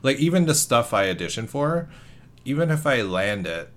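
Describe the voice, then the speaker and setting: A man's voice: mid-range voice, big pitch swings, moderate pace. A man who is an actor, interviewing a guest in a casual, easygoing chat with curious questions.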